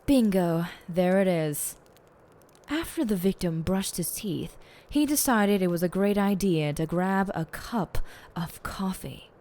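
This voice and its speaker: Woman acting slow, pompous, low-voiced lady detective. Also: expressive, warm tone. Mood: probing, pleased. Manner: pompous, deliberate, theatrical phrasing. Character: smug, theatrical woman lead detective, teasingly bossy. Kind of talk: audio roleplay acting.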